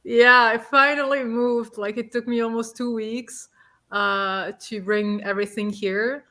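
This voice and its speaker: A woman's voice: a little high, steady tone, unhurried, pushed and bright. A woman talking casually as a co-host on a livestream, sharing everyday details with friends. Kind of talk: casual chat.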